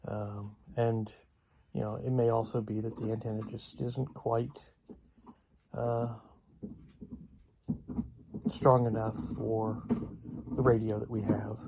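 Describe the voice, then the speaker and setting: A man vlogs off the cuff in a monotone with a gravelly edge. He speaks haltingly and hesitantly, pausing often as he casually explains. The home-made recording has clear noise behind him. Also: on the low side. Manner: halting, hesitant delivery with long pauses. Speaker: vlogger. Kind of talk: casual unscripted vlog talk.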